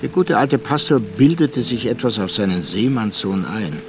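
A man's voice: fairly low pitch, moderate pace, over music, close to an everyday voice. An actor playing a thoughtful male narrator who recounts a friend's fate in a literary radio play. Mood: reflective, grave.

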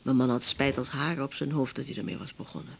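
An older Dutch widow with a low voice for a woman. She is lonely and tense, and confides haltingly in the natural style of an eighties radio play. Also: steady tone, vocal fry, breathy edge, heavily acted. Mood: bitter, grieving. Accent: Netherlands Dutch. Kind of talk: naturalistic 1980s radio drama acting.

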